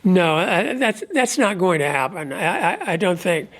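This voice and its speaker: A man with a head cold and a stuffed-up voice, giving an unscripted religious commentary at a measured, halting pace, pausing often. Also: high-pitched, sing-song, a bit bright. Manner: measured, halting delivery with frequent pauses. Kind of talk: unscripted monologue on prophecy.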